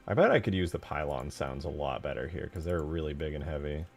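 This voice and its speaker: A man's voice: extremely low pitch, animated, quick pace. A man commenting casually on his screen as he works on a game mod, thinking aloud in informal, sweary language. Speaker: game modder, channel host. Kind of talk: casual screen-share commentary while working. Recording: music behind the voice. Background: music.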